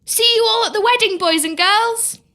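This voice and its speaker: A woman's voice: extremely high pitch, moderate pace. A woman playing a sweet, eager young servant heroine in an audio pantomime. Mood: excited.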